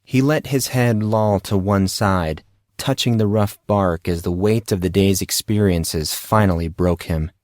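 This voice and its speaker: A man's voice: sits low, no echo. Dry-sounding man narrating a dramatic story. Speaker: audiobook narrator.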